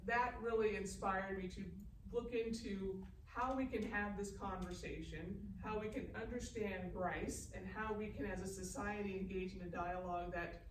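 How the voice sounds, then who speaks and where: A woman speaks at a live panel in a conversational, projected way, with a mid-pitched voice. She sounds distant from the microphone in an echoey space, with clear noise behind her. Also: steady tone, normal speed, few pauses. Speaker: writer answering audience questions. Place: large indoor hall at a festival event. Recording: echoey, distant, noisy room recording.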